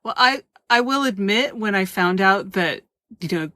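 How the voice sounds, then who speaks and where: A woman's voice: mid-range voice, animated, moderate pace, sharp tone, no echo. A woman hosting an interview in casual, informal and exclamatory conversation. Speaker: interview host.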